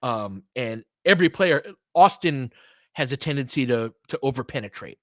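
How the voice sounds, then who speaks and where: A man's voice: medium pitch, expressive, normal speed, fairly bright tone, no room sound. Man doing dry, close-miked basketball commentary. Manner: conversational, explanatory, long-winded. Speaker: basketball play-by-play host and analyst. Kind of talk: live sports commentary mixed with casual analysis.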